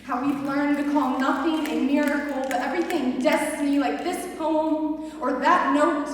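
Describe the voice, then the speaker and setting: High-voiced young woman performing slam poetry. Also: steady tone. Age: young adult. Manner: emotional, deliberate dramatic delivery with pauses. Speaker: slam poet. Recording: echoey hall from a distance, faint noise.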